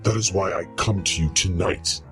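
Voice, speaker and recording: A man's voice: low voice, fairly even intonation, soft background music. A man playing a bitter, lonely monster, speaking in a solemn, grandiose way in a radio horror drama. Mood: bitter, demanding. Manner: solemn, grandiose, deliberate delivery. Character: lonely, bitter male giant monster, loathing and pleading. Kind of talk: old-time radio horror acting.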